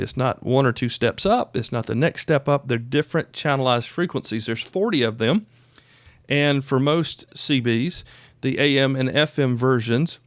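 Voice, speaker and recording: A man's voice: medium pitch, no room sound. A man hosting an informal training show, explaining things in a plain-spoken, folksy, conversational way. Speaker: host of a prepper radio training show. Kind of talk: informal instructional talk.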